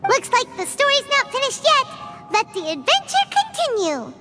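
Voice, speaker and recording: A woman voicing a tiny, excitable childlike fairy companion with squeaky exclamations, in an anime fantasy game. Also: moderate pace, soft background music, full character voice. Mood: cheerful.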